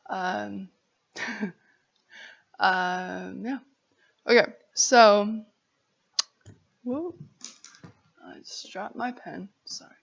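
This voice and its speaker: Slow, gravelly-voiced American woman vlogger with stutter. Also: mid-range voice, highs cut off. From Arizona, United States. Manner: halting, apologetic, giggly. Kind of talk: casual chat to camera.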